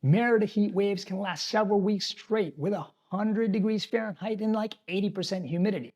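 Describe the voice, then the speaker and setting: Man, fairly high-pitched voice, scripted advisory talk, addressing viewers directly. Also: brisk, darkish tone, highs cut off. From tropical island. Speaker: expat channel host. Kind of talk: scripted talking-head explainer.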